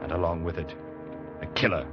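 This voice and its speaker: A man's voice: deep voice, brisk, lightly acted. An actor playing a young ship's apprentice who narrates his story earnestly in a vintage radio drama. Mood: reflective. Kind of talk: 1940s radio acting. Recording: dull old radio broadcast with music.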